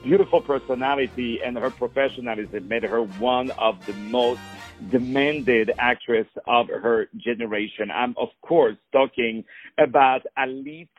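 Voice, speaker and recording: A man's voice: mid-range voice, measured pace, lo-fi sound, soft background music. A man hosting a radio interview, effusive and repetitive, stumbling over words in his long questions. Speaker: radio host.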